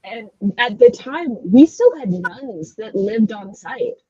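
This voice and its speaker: Slightly husky woman hosting a chat. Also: fairly high pitch, lively intonation, takes it slowly, dark tone, no echo, lo-fi sound. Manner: chatty storyteller with wry asides. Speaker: host. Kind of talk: casual chat.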